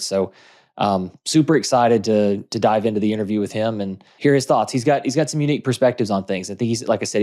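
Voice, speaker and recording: A man's voice: lowish voice, mellow. A man co-hosting a podcast, chatting in an easygoing way with frequent fillers and restarts. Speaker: podcast co-host. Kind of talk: casual podcast chat.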